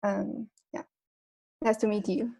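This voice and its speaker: Slow, flat-voiced woman on a call. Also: medium pitch, vocal fry. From China. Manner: hesitant, many fillers. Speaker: composition student. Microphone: video call.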